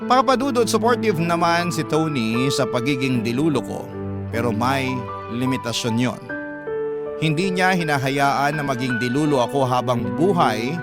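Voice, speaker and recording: Male storyteller over loud music. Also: mid-range voice, expressive, moderate pace. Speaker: radio storyteller, narrator. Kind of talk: scripted first-person dramatic narration.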